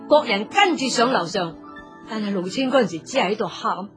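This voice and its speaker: A woman's voice: medium pitch, lively intonation, a little fast. A woman narrating a story theatrically, addressing her listeners in a dramatic scripted style. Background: music.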